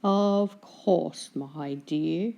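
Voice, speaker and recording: A woman voicing a mild, gloomy lighthouse keeper husband in a children's storybook, speaking in a slow, formal way. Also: low voice, vocal fry, warm tone, muffled recording, exaggerated voice. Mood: agreeable, ponderous.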